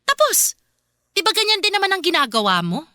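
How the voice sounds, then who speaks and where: Brash, bright-voiced young Filipina girlfriend. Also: very high voice, big pitch swings, a little fast, heavily acted. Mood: insistent. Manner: assertive, exasperated, streetwise. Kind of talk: radio drama dialogue. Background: faint music.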